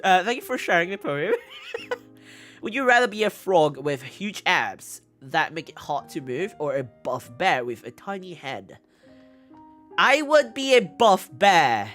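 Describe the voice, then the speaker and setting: Giggly low-voiced woman with a mixed accent. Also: a bit bright. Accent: mixed English accent. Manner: giggly, halting, often repeats phrases. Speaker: VTuber streamer host. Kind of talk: casual livestream chat answering viewer questions. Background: background music.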